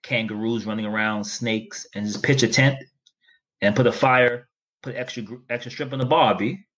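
A man's voice: medium pitch, steady tone, moderate pace, a bit bright. A man from New York co-hosting a podcast, joking around in a playful, wisecracking way. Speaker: podcast co-host. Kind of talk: joking casual chat.

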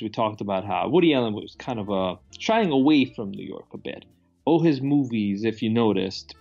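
A man's voice: medium pitch, creaky, a little mellow. A man co-hosting a casual film discussion in a blunt, informal, conversational manner. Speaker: podcast co-host. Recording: dull lo-fi sound, music behind.

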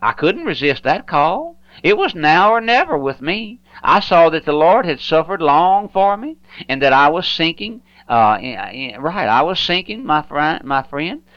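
A man's voice: on the high side, very animated, unhurried, a bit bright, no echo. Man, radio pastor, pleading sermon, stumbling repeats, dull lo-fi sound.

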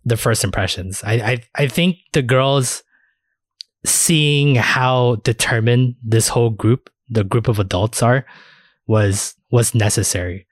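A man's voice: mid-range voice, takes it slowly, dry recording, studio quality. A man hosting a casual anime discussion podcast, chatting informally with plenty of filler words and swearing. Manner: informal, profanity-laced, filler-heavy talk. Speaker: podcast host.

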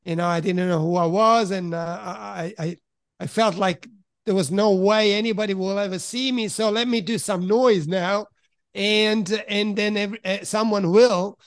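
A middle-aged man from Italy who grew up in Switzerland, with a high voice, recounting his past in a frank, reflective way. He pauses to search for the right words as he talks. The sound is dry and close, with no room echo. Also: steady tone. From Italy, raised in Switzerland. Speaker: podcast guest. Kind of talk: candid personal storytelling in conversation.

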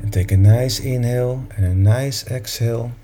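A man's voice: mid-range voice, normal speed, warm tone, soft background music. A man leading a meditation session, talking informally to a group in a soothing, encouraging manner.

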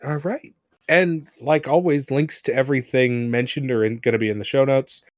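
A man's voice: mid-range voice, fairly even intonation, moderate pace, no room sound, clean studio sound. A man hosting a podcast, laid-back as he wraps up the show. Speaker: podcast host. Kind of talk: casual podcast chat.

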